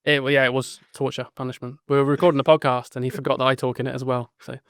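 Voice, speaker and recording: A British man hosts a webinar for teachers over a video call. He is upbeat and jokey and thanks everyone a lot. His fast, smooth delivery sits dry and close. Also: mid-range voice, little pitch movement, a bit bright, no echo. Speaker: webinar host. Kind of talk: casual webinar hosting.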